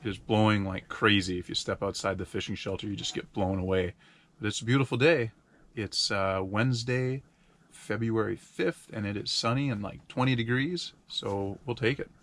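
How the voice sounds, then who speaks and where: A man's voice: on the low side, normal speed, fairly bright tone. Upbeat young man vlogging outdoors. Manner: upbeat, chatty, narrating on the go. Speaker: outfitter vlogger. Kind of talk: casual outdoor vlog. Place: ice fishing shelter, then outdoors on a snowy trail. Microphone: action camera held close or body-mounted.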